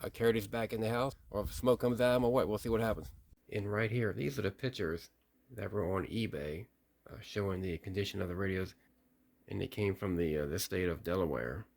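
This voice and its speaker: A man's voice: on the low side, a little fast, vocal fry, a little mellow. A man giving a casual, unscripted hands-on demonstration, thinking aloud with some hesitation. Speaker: vlogger testing vintage CB radios.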